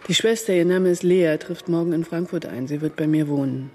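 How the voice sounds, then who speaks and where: Mellow, cool, worldly woman in radio drama. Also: low-pitched, brisk, breathy edge, soft background music. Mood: knowing, matter-of-fact. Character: worldly, shrewd German woman, postwar underground agent. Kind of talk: radio crime drama acting.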